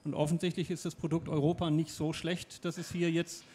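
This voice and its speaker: A man's voice: fairly high pitch, flat delivery, fairly quick, darkish tone, slight background noise. A middle-aged man speaking on a stage panel into a handheld microphone, explaining his views with confidence and personal anecdotes. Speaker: panelist, advertising expert. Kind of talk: panel discussion. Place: stage of a lecture hall.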